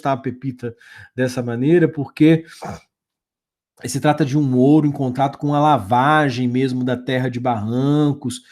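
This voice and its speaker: A man's voice: medium pitch, mellow. A man teaching a history lesson over slides, talking loosely with frequent fillers, restarts and check-ins with the class. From Brazil.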